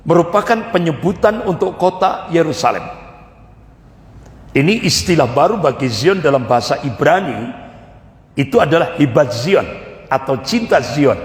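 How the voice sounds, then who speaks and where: A man preaching to a congregation, emphatic and repetitive, asking rhetorical questions. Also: a little high. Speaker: Islamic preacher. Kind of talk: religious lecture to a congregation. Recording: echoey hall with long reverb.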